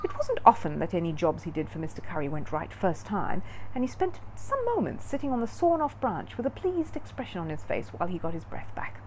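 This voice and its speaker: A woman storyteller narrating a children's book in an expressive read-aloud style. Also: lowish voice, brisk, a little hiss or hum, low-quality recording.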